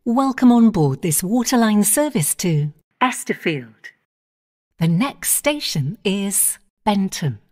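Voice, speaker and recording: A woman giving automated onboard train announcements in measured, clipped phrases, naming each station in turn. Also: medium pitch, sing-song, a little mellow.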